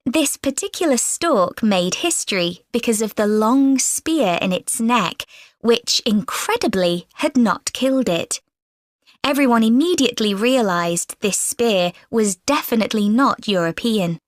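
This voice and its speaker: A woman's voice: fairly high pitch, animated, no echo. Woman reading a scripted presentation. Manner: clear, measured delivery. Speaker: lecture speaker in a listening exam recording. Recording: dry studio voice recording.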